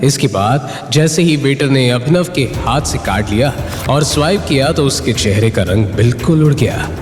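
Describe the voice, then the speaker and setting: A man's voice: mid-range voice, lively intonation, moderate pace. A man narrating a scripted drama story with suspenseful, emphatic delivery. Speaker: narrator of an audio drama series. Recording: roomy long reverb, music bed.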